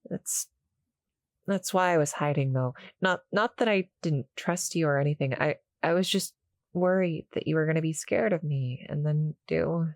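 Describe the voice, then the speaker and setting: A woman playing a shy, gentle succubus cuddle monster in an intimate roleplay audio, speaking hesitantly, softly and politely to the listener. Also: deep voice, moderate pace, dry recording, clean studio sound. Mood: nervous, confiding. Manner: hesitant, soft-spoken, polite, apologetic.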